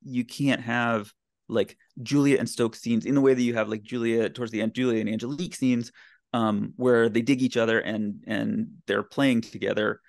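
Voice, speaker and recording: A man speaking as a podcast guest in casual, enthusiastic discussion, with emphatic and halting phrasing. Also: medium pitch, fairly even intonation, gravelly, no echo, studio quality. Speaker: podcast guest, blogger and writer.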